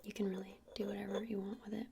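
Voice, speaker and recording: A woman's voice: medium pitch, level tone, background noise. Whispering woman, ASMR witch shopkeeper. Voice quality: breathy unvoiced whisper. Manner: hesitant, unhurried, soft-spoken. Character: gentle witch minding a crystal shop. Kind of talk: whispered ASMR shop roleplay.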